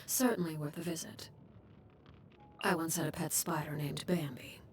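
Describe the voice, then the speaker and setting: A woman voicing a cold, composed, menacing game commander and stern guardian, speaking in a hushed, breathy, measured and formal way. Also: sits low, fairly even intonation, slight background noise, lightly acted. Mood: composed, reflective. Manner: measured, formal, politely threatening. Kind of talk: video game character voice lines.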